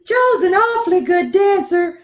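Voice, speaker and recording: A woman playing a dim-witted young female secretary in an old-time radio comedy, with a whiny, breathy delivery over a video call. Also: extremely high pitch, lively intonation, a little slow, faint music behind. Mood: airheaded.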